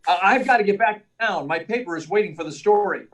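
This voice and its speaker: An earnest young male reporter, acted by a man in old radio-serial style. The voice is high for a man, eager and polite, a little distant from the mic. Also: lively intonation, a bit bright. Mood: brisk, confident. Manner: earnest, brisk, deferential. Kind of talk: 1940s radio serial acting. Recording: distant mic, live remote performance.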